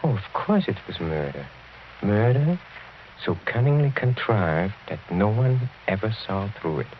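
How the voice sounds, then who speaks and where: Casting: suave older aristocrat, deep voice, quietly menacing, radio horror villain on a dull, noisy recording. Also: male voice, sing-song. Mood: darkly fervent. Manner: suave, chuckling, deliberate menace with courteous hesitations. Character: sinister, courtly older count from a decadent noble family. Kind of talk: radio horror drama acting.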